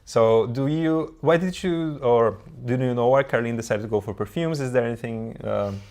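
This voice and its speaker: A man's voice: medium pitch, moderate pace. A man with a Brazilian accent hosts a casual live stream. His talk is loose and friendly, full of fillers and restarts, and he reads viewer comments aloud as he goes. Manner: relaxed, chatty, many fillers and restarts. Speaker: livestream host.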